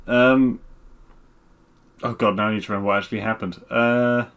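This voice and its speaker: A man co-hosting a podcast in casual chat, talking in a halting, hesitant way. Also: fairly low pitch, steady tone, fairly bright tone, clean tone. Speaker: podcast co-host.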